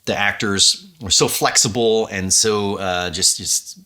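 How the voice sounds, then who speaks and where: A man speaking casually and effusively as an audio drama director, halting as he finds his words. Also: lowish voice, steady tone, sharp tone. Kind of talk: casual, unscripted behind-the-scenes talk.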